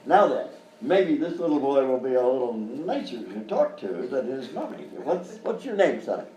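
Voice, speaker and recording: An actor playing a flustered, falsely cheery children's TV host who stammers and hems, in a live radio-style comedy sketch. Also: male voice, medium pitch, very animated, normal speed, dark tone, close to an everyday voice. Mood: cheery patter. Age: middle-aged or older. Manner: stammering, hemming and hawing, exaggerated. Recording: roomy live stage, distant mic, faint noise.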